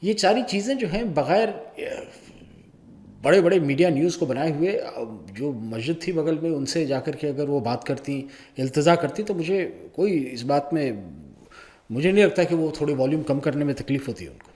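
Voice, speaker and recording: A man presenting news commentary in an informal, opinionated way, often pausing mid-sentence. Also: on the high side, animated, darkish tone.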